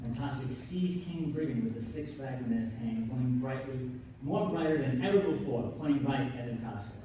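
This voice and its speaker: A man's voice: mid-range voice, brisk, mellow, a little hiss or hum. A man narrating a scripted live radio play on stage. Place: live stage at a comic convention. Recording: very echoey hall, far off, music behind. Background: music.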